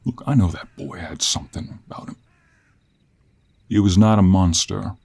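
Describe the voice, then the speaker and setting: A man playing a gruff, stubborn cowboy monster hunter in an audio role play, with an American Western accent, a folksy drawl and a husky voice. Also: normal speed, darkish tone, full character voice. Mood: stern, exasperated. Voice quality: husky, low.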